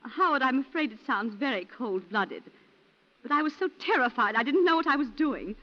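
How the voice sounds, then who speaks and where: An actress playing a genteel, controlled planter's wife in a 1940s radio drama, with dramatic delivery. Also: female voice, high-pitched, animated, normal speed. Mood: controlled, anxious. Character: composed, genteel colonial planter's wife accused of murder. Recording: muffled 1940s radio recording.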